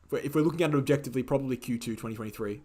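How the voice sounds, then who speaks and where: A man hosting a live question-and-answer stream, speaking in a casual, opinionated way, repeating key phrases for emphasis, his voice slightly croaky. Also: mid-range voice, very fast, darkish tone, a little hiss or hum. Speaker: host answering viewer questions. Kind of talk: casual livestream Q&A.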